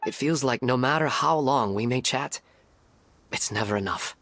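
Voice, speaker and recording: An actor playing an earnest, wistful widowed father in a video game, speaking in a measured, reflective way. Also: mid-range voice, fairly bright tone, a little hiss or hum, close to an everyday voice. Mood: wistful, fond. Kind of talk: voice-acted game story dialogue.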